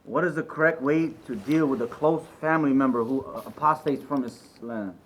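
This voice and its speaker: Man reading out questions, mid-pitched. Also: moderate pace, slight background noise, rough recording. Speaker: question reader.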